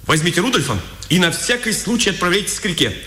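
A Gestapo officer, formal and coldly courteous yet used to command. His voice is sharp and sweeps widely in pitch. It is an old radio drama recording with a roomy, reverberant sound. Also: sing-song, quick pace, heavily acted. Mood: brisk commanding. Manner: formal, clipped orders. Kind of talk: 1950s Soviet radio drama acting. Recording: old echoey radio drama, music behind.

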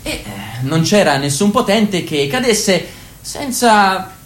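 Sceptical female party member, pointed and theatrical, swinging pitch, roomy radio-play sound. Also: expressive, slow. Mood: grave recounting. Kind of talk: Italian radio theatre acting.

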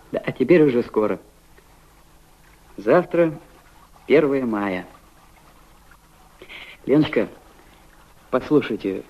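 An actor playing a shy, warm-hearted Soviet delegate in a radio drama, with a halting, tender, coaxing way of talking. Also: male voice, medium pitch, slightly rough, full character voice. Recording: dull lo-fi recording, music behind.

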